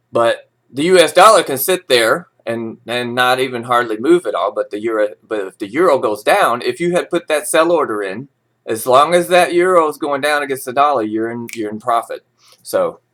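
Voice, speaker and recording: A man's voice: mid-range voice, fairly bright tone. A man talking casually and digressively, mixing read-out financial news with his own opinionated, informal asides. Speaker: vlogger reading forex news with commentary. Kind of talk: casual rambling talk mixed with read-out news.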